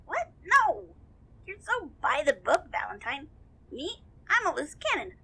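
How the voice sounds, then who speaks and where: A tiny hot-headed sidekick in a comic audio drama. The voice is extremely high and sharp, swooping wildly as it blusters with brash, exasperated bravado. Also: female voice, wildly swooping pitch, unhurried, gravelly, exaggerated voice. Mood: brash, excited. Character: tiny two-foot-tall hot-headed self-styled loose-cannon sidekick.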